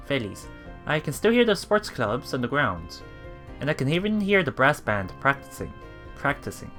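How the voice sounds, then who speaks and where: A man's voice: mid-range voice, a bit bright. A man reading a game aloud as a let's play streamer, reacting casually and repeating phrases as he puzzles things out.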